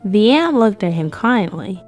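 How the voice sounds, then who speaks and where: A woman's voice: mid-range voice, big pitch swings, measured pace, a little mellow, soft background music. A woman narrating a scripted fairy tale for children as a storyteller.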